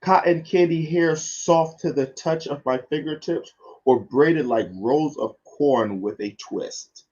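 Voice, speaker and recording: Storybook mom male reader: tender, reassuring, unhurried, emphatic. Dull online-stream sound. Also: a little high, a little mellow, pitched above the performer's own voice. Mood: tender, adoring. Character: loving, reassuring mother comforting her young son. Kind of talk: children's picture book read aloud.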